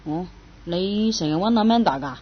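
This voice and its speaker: A woman's voice: lowish voice, lively intonation, moderate pace, breathy edge, highs cut off. A woman acting a boyish, jealous young woman in a radio drama, with a pointed, probing manner and a Hong Kong Cantonese accent. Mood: suspicious, possessive.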